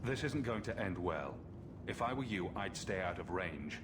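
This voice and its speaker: Low, wry male game hero. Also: animated, normal speed, background noise. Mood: serious, probing. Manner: dry, sarcastic. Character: wry, sarcastic adult male fantasy hero. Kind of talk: video game dialogue acting. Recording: music behind the voice.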